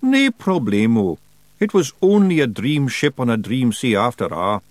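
A man's voice: mid-range voice, big pitch swings, normal speed, dry recording, natural voice. A man voicing a small, cheeky male pixie who answers nervously and evasively. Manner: shifty, evasive.

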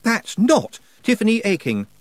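A man puts on a nervous young lad, voice pushed well above his own and loud. He sounds earnest and a bit flustered in a storybook reading. Close, dry recording. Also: high voice, wildly swooping pitch, measured pace, no echo, pitched above the performer's own voice, heavily acted. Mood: anxious. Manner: anxious, earnest.

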